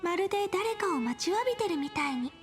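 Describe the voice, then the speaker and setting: A bubbly, teasing young heroine speaks in a very high, sweet voice at a quick clip. Soft music plays under her lines in the game audio. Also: lively intonation. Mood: playful. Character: playful bubbly young woman, gentle and teasing. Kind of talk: Japanese game voice acting.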